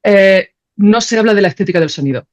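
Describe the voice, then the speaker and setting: A woman's voice: medium pitch, animated, quick pace, a little mellow. A woman speaking as a podcast guest in casual, opinionated conversation, repeating phrases for emphasis. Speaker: podcast guest, sound creator.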